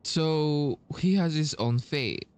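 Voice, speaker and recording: A man giving casual reaction commentary while playing a story game, halting and earnest as he searches for words. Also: on the high side, darkish tone, clear, resonant tone.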